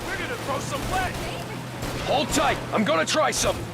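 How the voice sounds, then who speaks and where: An actor playing a working-class American cab driver in a crime game, wary but wry, with a streetwise, plain-spoken way of talking. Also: male voice, very high-pitched, big pitch swings, normal speed, gravelly, loud music behind the voice, full character voice. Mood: tense, focused. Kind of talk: cinematic game voice acting.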